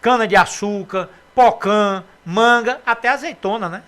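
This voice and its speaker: A man from Brazil hosting a local radio interview, chatty and lively as he asks questions. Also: high voice, measured pace. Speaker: radio host.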